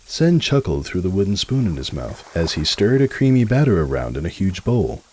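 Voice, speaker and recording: Deep, husky, expressive male storyteller. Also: normal speed. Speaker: narrator. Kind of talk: scripted dramatic story narration.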